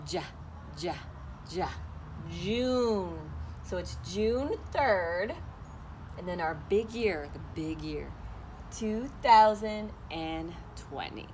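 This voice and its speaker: Woman teacher, slow sing-song, patient, faint background hum. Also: medium pitch. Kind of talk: online morning meeting for young children.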